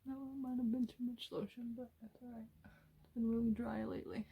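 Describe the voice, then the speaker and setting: A soft-spoken woman doing an ASMR self-care roleplay, gently guiding the listener in a soothing manner. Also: a little high, level tone, a little fast, gravelly, breathy edge, muffled recording. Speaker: ASMR creator, host.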